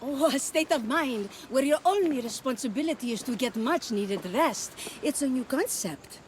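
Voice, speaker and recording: A woman's voice: sits high, animated, normal speed. An actress playing a cheery ancient boat woman selling passage in a video game. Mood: enticing. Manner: salesy, lilting, enticing. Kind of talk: video game cutscene acting. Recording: background ambience noise.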